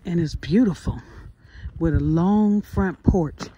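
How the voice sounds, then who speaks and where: A middle-aged woman, chatting casually and haltingly to her vlog viewers on a phone at arm's length. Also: lowish voice, mellow, dull audio. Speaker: vlogger. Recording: noisy handheld phone recording.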